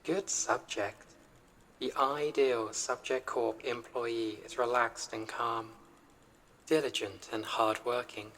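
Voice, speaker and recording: A calm corporate AI delivers methodical instructions very slowly. The voice is formal, detached and impersonal, with some ambient noise running behind it. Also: medium pitch, poor audio. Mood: calm, instructing. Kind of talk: scripted audio roleplay, instructional announcements.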